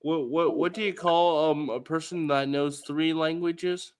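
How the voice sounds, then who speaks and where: A man's voice: a little high, a little slow, clear, resonant tone. Joking man chiming in on a stream. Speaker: livestream guest. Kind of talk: casual livestream chat.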